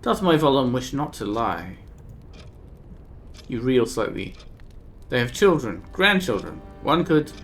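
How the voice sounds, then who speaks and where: A cryptic, uncanny woman of the frozen north, as a male streamer reads her lines, speaking in grave old-fashioned thee and thou. The voice sits at a middling pitch. Soft music plays faintly underneath. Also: big pitch swings, a little slow, creaky, long pauses, heavily acted. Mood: pleading. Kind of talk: reading a text game aloud on a live stream.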